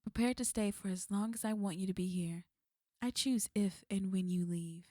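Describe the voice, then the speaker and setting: A woman's voice: mid-range voice, moderate pace, dark tone, no room sound, lightly acted. A woman playing a flirty, possessive villain in an ASMR roleplay, speaking intimately to the listener in a teasing, commanding way. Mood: smug, threatening.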